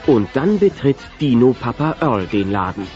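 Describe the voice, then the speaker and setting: A man's voice: medium pitch, sing-song, normal speed. A man narrating a scripted children's audio play as storyteller. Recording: dull cassette transfer, music behind.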